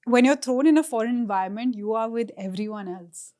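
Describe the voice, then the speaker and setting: A young woman from India, co-hosting a podcast and telling travel stories in a lively, chatty way. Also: fairly high pitch, a little fast. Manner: animated storytelling with frequent laughs and quoted voices. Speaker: podcast co-host. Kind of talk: casual chat.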